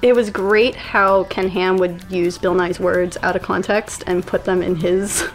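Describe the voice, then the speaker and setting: A woman speaking as a guest in a casual chat, with a calm, even, monotone way of talking. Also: fairly low pitch, measured pace, soft background music. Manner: calm, self-described monotone delivery.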